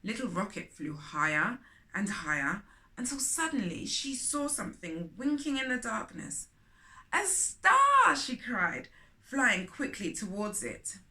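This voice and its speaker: A woman's voice: mid-range voice, animated, unhurried, sharp tone. A woman hosting a children's storytime, reading a picture book aloud expressively with chatty asides.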